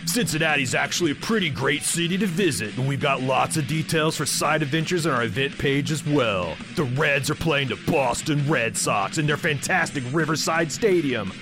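A man's voice: a little high, lively intonation, moderate pace, hardly any pauses. A man who hosts a podcast, reading a scripted promotional announcement for an event. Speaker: podcast host. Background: plucked guitar music.

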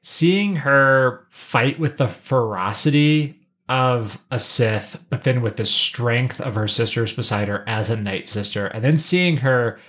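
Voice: mid-range voice, measured pace, fairly bright tone. A podcast co-host in a casual pop-culture discussion, wry and given to voicing imagined lines.

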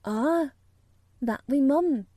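A woman's voice: high-pitched, animated, very slow, mellow, no room sound, clean studio sound, pitched above the performer's own voice, exaggerated voice. A woman voicing an eager young girl who proudly tries out a broad Yorkshire dialect.

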